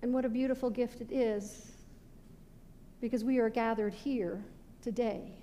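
A middle-aged American woman preaches from the pulpit in a high voice. She phrases deliberately, letting the ends of sentences drop away. The sanctuary adds a long reverb, with faint noise underneath. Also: fairly even intonation, dark tone. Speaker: pastor. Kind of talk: sermon. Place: indoor stone-walled church sanctuary at a pulpit. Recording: reverberant church hall with background noise.

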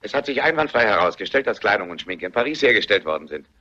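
An actor playing a formal, probing police inspector in a German radio play, with a husky, forceful voice. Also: male voice, fairly low pitch, sing-song, heavily acted. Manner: inquisitive, formal, brisk. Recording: dull, muffled radio play recording.

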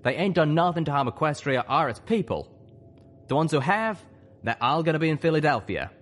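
A man voicing an earnest male pegasus pony soldier in a storybook, with forceful, folksy speech. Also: on the high side, expressive, fairly quick, voice pushed up.

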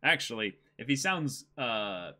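Animated young man with a bright voice. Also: medium pitch, unhurried. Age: 20s. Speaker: co-reader in a dramatic manga reading. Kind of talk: casual commentary and read-aloud. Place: small indoor room, seated.

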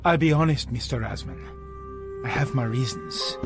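Cocky action hero hitman, gravelly n brooding, short lines, game music behind. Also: medium pitch, very animated, moderate pace. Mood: cool, businesslike. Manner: terse, brooding. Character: tough, cocky male gun-for-hire action hero. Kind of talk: video game cutscene acting.

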